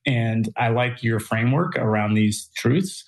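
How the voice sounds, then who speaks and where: A man's voice: medium pitch, a little slow. A man hosting a podcast interview who responds with enthusiasm and asks curious, rambling questions. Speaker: podcast host. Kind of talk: conversational interview.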